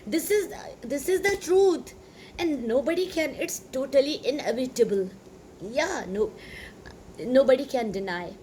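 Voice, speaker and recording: A woman's voice: sits high, expressive, a little slow, a little mellow, slight background noise. A woman from Pakistan with a Sindhi-Pakistani English accent, a native Sindhi speaker, talking casually and rambling with lots of "you know" and emphatic asides. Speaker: English teacher. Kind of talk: casual talk to camera.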